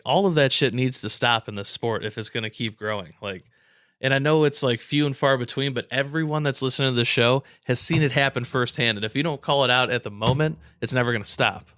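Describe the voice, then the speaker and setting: A man's voice: medium pitch, pushed and bright, no echo, studio quality. A man co-hosting a casual sports podcast, ranting with blunt, emphatic, profanity-laced talk. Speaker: podcast co-host.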